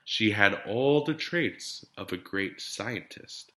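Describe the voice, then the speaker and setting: A man reading a rhyming picture book aloud to children in a deliberate, dramatic teacher's storytelling style. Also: on the low side, animated, a bit bright. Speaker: elementary teacher reading to students.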